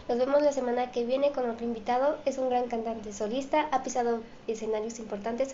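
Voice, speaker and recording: A woman's voice: on the high side, warm tone. A woman hosting an interview show, reading out audience questions and presenting to viewers. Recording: dull, echoey room with faint noise.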